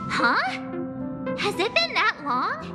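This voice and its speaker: Casual chatty game girl, space traveler, super high pitched, long reverb. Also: wildly swooping pitch, slow, full character voice. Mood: chatty, curious. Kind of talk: video game dialogue. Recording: long reverb, loud music behind. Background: music.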